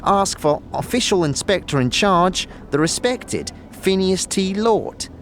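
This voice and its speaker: A man's voice: sits high, animated, a little slow, slight background noise, pitched above the performer's own voice. A man voicing a pompous, officious bureaucrat's public notice in a fantasy audio drama, in clipped, self-important phrases.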